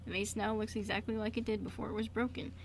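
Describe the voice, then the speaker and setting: A woman reading scripted narration for an amateur radio play, stumbling now and then in a halting read. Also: medium pitch, level tone, a little hiss or hum.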